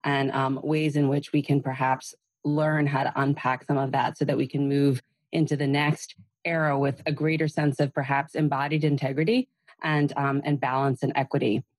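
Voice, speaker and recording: A woman hosting a podcast interview from a home in Massachusetts over a video call, speaking gently and meanderingly with soft fillers. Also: extremely low pitch, level tone, moderate pace, no echo. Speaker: podcast host.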